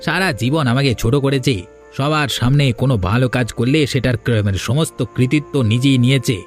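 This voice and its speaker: A man's voice: medium pitch, brisk, faint music behind, natural voice. A man playing a resentful, treacherous young village man in a Bengali cartoon, a hesitant plotter with an aggrieved, grumbling delivery. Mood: bitter.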